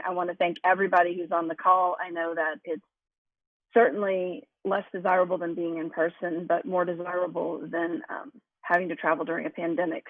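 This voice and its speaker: A woman chairs a formal committee meeting, her voice slightly hoarse from allergies. She runs things in an orderly way, setting ground rules one by one. The sound is a tinny, dry phone line. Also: lowish voice, no room sound. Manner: organized, sets ground rules. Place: remote conference call. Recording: tinny conference call line.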